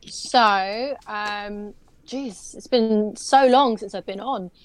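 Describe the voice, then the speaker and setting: A woman's voice: mid-range voice, slow pace, no room sound. A young woman, a pageant stylist, chatting casually on a video call podcast, rambling with lots of fillers and restarts.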